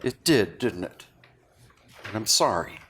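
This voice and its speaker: An actor at a table read playing a remorseful, philosophizing man, with a halting, confessional and earnest manner. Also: lowish voice, lively intonation, a bit bright. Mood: apologetic. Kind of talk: read-through of a stage drama script. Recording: clearly noisy room recording.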